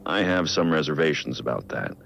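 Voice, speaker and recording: A brooding middle-aged vigilante mentor narrates a video game audio log in an extremely deep, gravelly voice. He is grave and introspective, weighed down by guilt. The sound is slightly dull and lo-fi. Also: animated, brisk, a bit bright, slight background noise, exaggerated voice. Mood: remorseful, grave. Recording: muffled lo-fi audio log, music underneath. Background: music.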